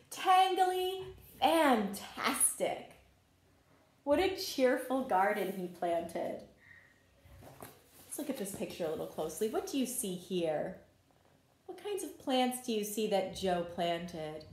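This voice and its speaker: Female storytime reader for preschoolers, slow and very lively in intonation, encouraging, recorded in an echoey room. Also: on the high side. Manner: animated, encouraging, addresses listeners with questions. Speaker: pre-kindergarten teacher reading a picture book to children. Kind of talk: expressive storybook read-aloud with questions to young children. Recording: roomy, echoing room sound.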